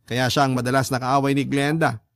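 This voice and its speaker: A man's voice: mid-range voice, level tone, brisk, fairly bright tone, dry recording. A man with a Filipino accent reading a first-person story as the narrator of a radio drama.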